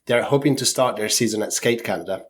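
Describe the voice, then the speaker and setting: A man's voice: medium pitch, quick pace. A man with a sore throat co-hosting a podcast, reading skating news in casual chat with some hedging fillers. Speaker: podcast co-host.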